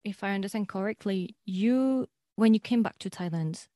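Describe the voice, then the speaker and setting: A woman's voice: medium pitch, normal speed, dark tone. A woman interviewer asks friendly, curious follow-up questions in a mid-range voice, nudging the talk along with short replies. The recording is dry and close, with no echo. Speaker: interviewer and channel host.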